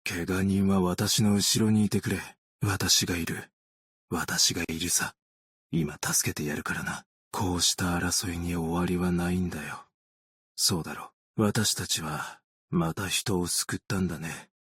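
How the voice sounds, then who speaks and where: A man voicing a weary, gentle, long-lived optician in a video game, measured, understated and quietly melancholic. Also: low voice, steady tone, breathy edge, dry recording, studio quality, full character voice. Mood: steady, reassuring, then weary, resigned. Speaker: video game character voice actor. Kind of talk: scripted game character voice lines.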